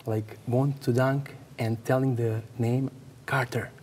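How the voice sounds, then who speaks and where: A native Serbian man with a Serbian accent asking sports interview questions in halting, non-native phrasing. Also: mid-range voice, flat delivery, darkish tone. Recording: some background noise.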